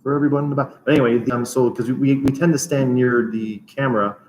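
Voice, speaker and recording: A man's voice: medium pitch, steady tone, fast talker, darkish tone, a little hiss or hum. Laughing man chatting on a gym podcast. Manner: laughs often, loose rambling talk. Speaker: martial arts gym owner and podcast co-host. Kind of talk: casual chat.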